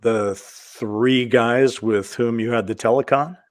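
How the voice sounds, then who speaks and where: A man's voice: medium pitch, fairly even intonation, takes it slowly. A man co-hosting a show, chatting informally and reading interview questions aloud from a script. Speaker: co-host of a show.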